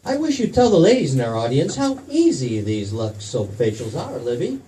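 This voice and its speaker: An actor playing an affable, smooth radio announcer selling in a 1940s commercial style. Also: male voice, medium pitch, wildly swooping pitch, normal speed, dark tone. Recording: distant mic, faint room noise.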